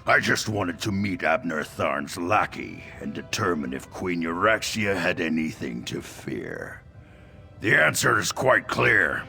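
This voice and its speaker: An arrogant dark sorcerer for a fantasy game. The voice is low and heavily creaky, with a sharp, forceful edge. The delivery is contemptuous and menacing, over faint music. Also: male voice, heavily acted. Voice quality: gravelly. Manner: slow, haughty, contemptuous delivery. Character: sinister arrogant male chief necromancer of a queen. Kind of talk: fantasy video game acting.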